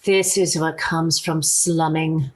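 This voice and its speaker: An actress playing a haughty, melodramatic middle-aged society wife in a 1940s radio sitcom. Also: female voice, sits low, fairly even intonation, slow pace. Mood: outraged. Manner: snooty, melodramatic. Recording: old 1940s radio recording.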